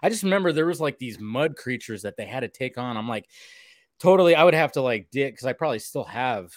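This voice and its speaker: A man's voice: medium pitch, lively intonation, quick pace, no echo, clean studio sound. A man co-hosting a casual discussion show, talking in a rambling, slangy way that wanders into tangents. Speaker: co-host of animated series discussion show. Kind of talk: casual chat.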